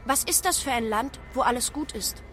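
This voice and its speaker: A woman's voice: fairly high pitch, normal speed, natural voice. An actress playing a naive young female artificial intelligence in a radio drama, speaking in a literal, earnest way. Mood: naive, curious. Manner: literal, matter-of-fact, questioning. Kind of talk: scripted radio drama acting. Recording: music behind the voice.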